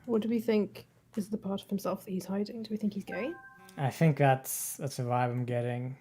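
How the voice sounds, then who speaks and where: A man casually commentating while playing a game, relaxed and musing. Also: high voice, moderate pace, soft background music. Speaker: streamer.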